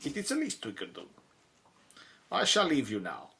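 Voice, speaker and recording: A man playing a courteous, smoothly formal Egyptian envoy in an audio drama, polite, measured and ceremonious. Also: on the high side, wildly swooping pitch, fairly bright tone, heavily acted.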